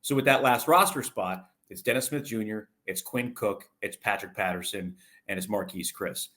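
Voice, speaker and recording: Low-voiced man talking sports. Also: normal speed, sharp tone. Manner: talkative, explanatory, long detailed answers. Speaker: sports broadcaster, interview guest. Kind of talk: conversational sports analysis.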